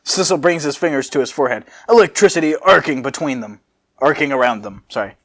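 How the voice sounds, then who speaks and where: A man's voice: fairly high pitch, expressive, fast talker, fairly bright tone. A man narrating a story as an amateur host, with dramatic reading and jokey casual asides. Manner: jokey, laughs at the text.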